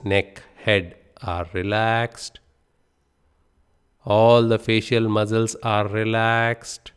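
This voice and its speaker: Man, meditation guide, very slow pace, smooth clear voice, calm instructions. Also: medium pitch. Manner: slow, calm, unhurried guidance with pauses.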